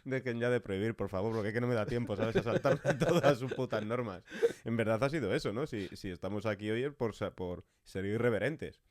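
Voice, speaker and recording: Man, podcast host, somewhat low voice, dry echo-free sound, informal irreverent chat. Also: brisk.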